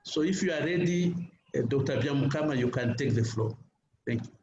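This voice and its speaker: A man moderating a webinar in a polite, measured way with frequent fillers. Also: medium pitch, dull audio. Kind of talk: chairing a webinar. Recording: echoey room with background noise.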